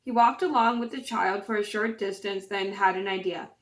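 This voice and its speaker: A woman's voice: medium pitch, moderate pace. A woman with a scratchy voice hosting her channel and reading a fairytale aloud, with chatty, apologetic asides. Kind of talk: storybook read-aloud.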